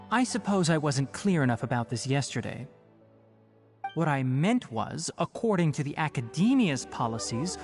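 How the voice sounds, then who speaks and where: Fantasy game forest scholar: young male, lecturing, matter-of-fact, impatient, very varied pitch, light music underneath. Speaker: voice actor in a fantasy video game. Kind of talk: scripted game dialogue.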